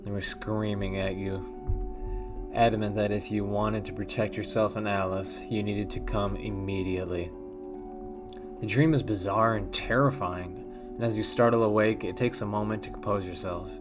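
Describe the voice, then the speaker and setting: Deep-voiced man reading a horror game. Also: level tone, moderate pace, music in the background. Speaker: let's play host.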